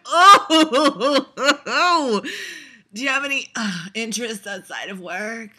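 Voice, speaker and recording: A woman's voice: on the high side, animated, slow, bright, forceful tone. A young woman vlogger giving a sarcastic, profane reaction, rambling with lots of laughs and fillers. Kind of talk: casual reaction commentary.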